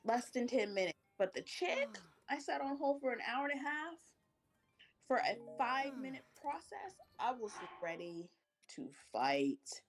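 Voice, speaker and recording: Woman streamer, animated storyteller, pitches her voice up from a deeper natural tone. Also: measured pace. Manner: animated venting, acts out quoted voices. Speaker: stream co-host. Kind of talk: casual chat. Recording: music playing behind.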